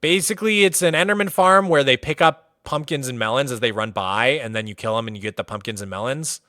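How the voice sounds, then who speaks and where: A man's voice: medium pitch, fast talker, dry recording, clean studio sound. A man from Massachusetts streaming casually, chatting loosely with lots of "like" fillers as he tours a game world. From Massachusetts, USA. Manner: relaxed and chatty, many "like" fillers. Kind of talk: casual livestream commentary, touring a game world.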